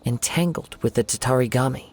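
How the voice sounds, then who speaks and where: An actor playing a calm young wandering samurai in a video game, speaking in measured, formal, deliberate phrases. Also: male voice, mid-range voice, lightly acted. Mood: calm, grave.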